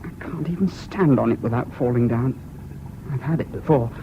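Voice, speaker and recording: A man's voice: mid-range voice, normal speed, lightly acted. An actor playing a jaunty, reckless young British serviceman with breezy, clipped, understated speech in a 1970s radio drama. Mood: stoic. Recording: muffled old radio recording, heavy noise.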